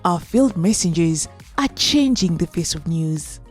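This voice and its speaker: A woman reads a promo voice-over in an earnest, rallying tone. Her voice is fairly low and mellow, with faint music behind it. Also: expressive, measured pace. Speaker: promo narrator.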